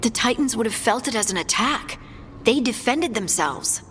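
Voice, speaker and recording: Hurt, resolute game heroine. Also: female voice, a little high, animated, moderate pace, fairly bright tone, a little hiss or hum. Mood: somber, uneasy. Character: aggrieved dwarf woman, Lace, hurt and resolute. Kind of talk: video game cutscene voice acting.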